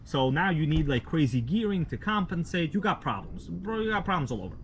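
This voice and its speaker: A man from Russia, a native Russian speaker, hosting a casual live stream outdoors at night, rambling in a relaxed, slangy way with many fillers. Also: fairly high pitch, brisk, slight background noise. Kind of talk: casual live stream chat, reading viewer comments.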